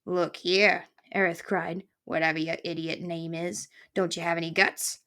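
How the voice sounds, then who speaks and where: Storybook old porcupine, male, played by a woman: low, creaky, cantankerous, scornful, slangy and quick to insult. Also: little pitch movement, sharp tone. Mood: scornful, irritated. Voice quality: growling, gravelly. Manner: barking colorful insults, folksy slangy speech.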